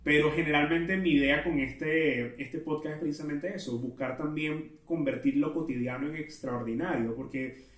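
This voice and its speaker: A man's voice: fairly high pitch, steady tone, moderate pace. A man with a Venezuelan Spanish accent hosting a solo podcast, chatting casually in a self-aware, digressive way. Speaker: podcast host. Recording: roomy echo, distant from mic.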